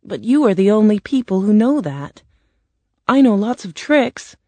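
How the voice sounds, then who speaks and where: A little old humbug of a man from a storybook played by a woman, meek and apologetic. His mild, confessional words come in a soft, mellow tone, recorded dry. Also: mid-range voice, sing-song, unhurried, no room sound, voice pushed up. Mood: confiding. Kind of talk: storybook character voice.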